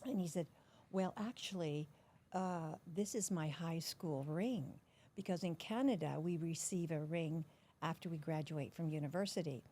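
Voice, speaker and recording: An older woman from the Netherlands, a podcast guest recounting memories in an unhurried, storytelling way. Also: deep voice. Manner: warm, unhurried storytelling with soft laughs. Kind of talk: storytelling interview.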